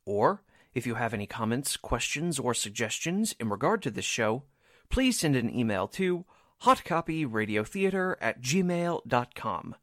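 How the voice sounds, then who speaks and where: A man's voice: mid-range voice, a bit bright, no echo, studio quality. A man working as a radio drama announcer, reading scripted show narration.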